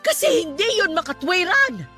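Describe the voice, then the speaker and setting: A stern, devout aunt in a radio drama , played by a woman. Her voice is high and swoops expressively with emotion. She speaks emphatically to her nephew, over a music bed. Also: very animated, normal speed, sharp tone, heavily acted. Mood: furious, exasperated. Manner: emphatic, emotional, scolding and pleading. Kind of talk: Tagalog radio drama acting.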